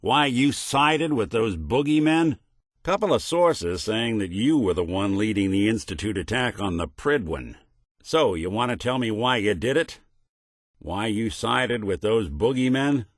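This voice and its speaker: A man's voice: on the low side, animated, unhurried, no room sound. A man voicing a weary, world-worn but principled android detective in a video game, with dry, sardonic delivery and folksy hard-boiled noir phrasing. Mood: indignant, disappointed.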